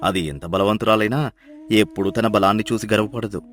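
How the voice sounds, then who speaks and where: Man playing humble village donkey herder, soft, measured, gently persuasive, clever, storybook cartoon, light music behind. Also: on the low side, level tone, pitched below the performer's own voice, natural voice. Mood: wise, gentle. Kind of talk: Telugu animated moral story acting.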